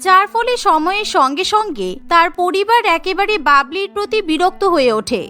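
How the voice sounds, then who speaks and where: A woman's voice: very high-pitched, lively intonation, normal speed, pushed and bright. A woman narrating a scripted cartoon story as its storyteller.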